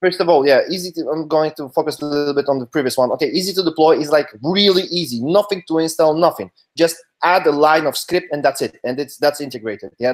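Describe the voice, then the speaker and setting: A man in his thirties informally explaining a product on a video call, halting and rephrasing as he goes. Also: fairly high pitch. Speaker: webinar co-presenter. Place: small room at home or office, on a video call.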